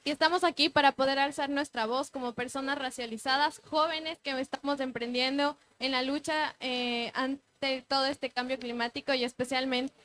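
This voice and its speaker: Bright, high-voiced woman from Ecuador. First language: Quichua. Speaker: youth activist speaker. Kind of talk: panel statement.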